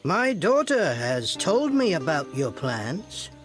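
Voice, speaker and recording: A woman voicing a wily, secretive old father-in-law in a storybook tale, speaking emphatically with dramatic pauses. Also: sits low, very animated, soft background music, pitched below the performer's own voice, heavily acted. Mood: confiding.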